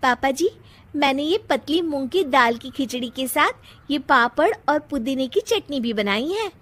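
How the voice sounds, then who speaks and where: A woman's voice: sits high, lively intonation, measured pace, fairly bright tone, pitched above the performer's own voice. A woman voicing a dutiful, competitive young daughter-in-law in a cartoon story, polite and explanatory. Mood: eager, presenting.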